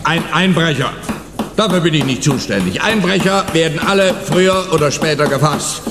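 A man's voice: a little high, expressive, normal speed, music in the background, close to an everyday voice. A man playing a smug, chatty, eccentric police officer in a radio play, breezy and self-assured. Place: police headquarters scene, reverberant room. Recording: echoey hard-walled room, long reverb.

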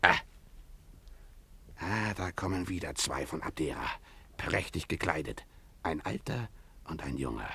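A man playing a drunken, boastful, greedy pirate sea captain in a radio play, theatrical and mocking, in a gravelly voice. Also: rumbling low, lively intonation, unhurried, pauses often, pushed and bright, exaggerated voice. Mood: menacing. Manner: theatrical, mocking, swinging from mutters to bellows. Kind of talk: 1950s German radio play acting.

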